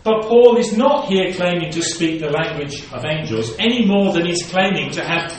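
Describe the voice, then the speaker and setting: High-voiced preacher in an echoey hall. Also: male voice, expressive, moderate pace. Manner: expository Bible teaching, reading scripture aloud then explaining. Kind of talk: sermon. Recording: very echoey room, voice from a distance.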